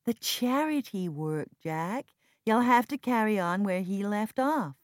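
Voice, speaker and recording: A woman's voice: medium pitch, expressive, measured pace, no echo, studio quality, voice pushed up, natural voice. A woman narrator playing an earnest, strong-willed and loyal woman in mourning, emphatic and reproachful, in an audiobook drama. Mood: insistent.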